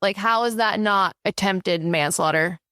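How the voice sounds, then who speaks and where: A woman co-hosting a podcast in casual chat, an outspoken flight instructor who talks with animated emphasis. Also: mid-range voice, a little slow, dry recording, studio quality.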